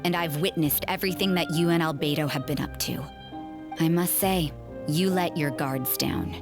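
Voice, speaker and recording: A woman playing a wary, sardonic nun investigator in an anime fantasy video game, speaking in a cool, dry, deliberate way. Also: low-pitched, over music. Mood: suspicious, accusing.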